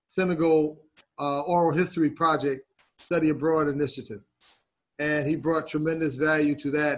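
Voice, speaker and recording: A man speaking as a college program director in a conversational podcast interview, pausing often as he searches for phrasing. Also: fairly high pitch.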